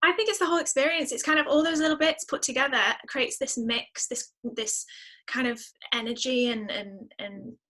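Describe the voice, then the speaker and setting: Female podcast guest, bright and fairly high voice, chatty, expressive delivery. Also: moderate pace. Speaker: musician. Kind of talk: casual, chatty interview answers.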